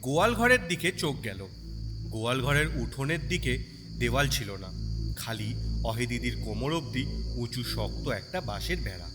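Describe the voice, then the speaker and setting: A man tells a story in a scripted, expressive style. His medium-pitched voice swings widely in intonation. It carries a long reverb, and music with cricket sounds plays clearly behind him. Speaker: story narrator.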